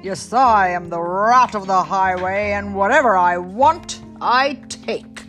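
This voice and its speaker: Woman as a greedy rat bandit, loud and gloating, slow sing-song threats, soft music behind. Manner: booming, gloating, drawn-out chant. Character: greedy bullying male rat highwayman.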